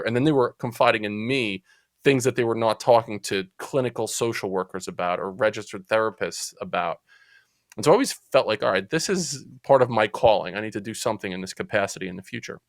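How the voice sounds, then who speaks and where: A man in his forties with a New Jersey accent, telling stories as a podcast guest in a chatty, lively way. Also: fairly low pitch, dry recording, studio quality. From Central New Jersey. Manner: chatty, animated storyteller. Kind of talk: casual storytelling interview.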